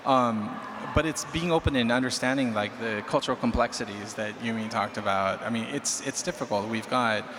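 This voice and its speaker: A man's voice: medium pitch, moderate pace, hardly any pauses. A man on a conference panel, talking casually and a bit rambling, with some laughter, into a handheld mic. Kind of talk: panel discussion. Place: large conference hall stage. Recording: hall reverb, clear crowd-room noise.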